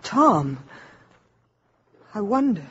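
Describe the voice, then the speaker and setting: An actress with an American accent playing a sensible, protective young mother in a 1950s radio drama, gently skeptical. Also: medium pitch, sing-song, very slow, music in the background. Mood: concerned. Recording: muffled old radio recording, busy background.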